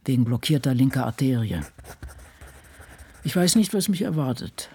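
A woman's voice: a bass voice, animated, moderate pace, husky, full character voice. An older woman playing an ageing, world-weary writer confiding dryly and introspectively in her diary in a radio play. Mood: dry, reflective. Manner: brooding, dry, introspective. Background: soft music.

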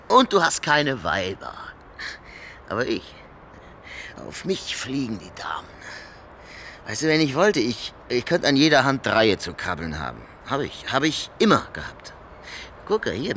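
A homeless braggart in a radio drama. His voice is bright and forceful and leaps around as he rambles and repeats his boasts. Faint street noise sits behind him. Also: mid-range voice, exaggerated voice. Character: boastful, nervous homeless male drinker, former coal carrier. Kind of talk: German radio drama acting.

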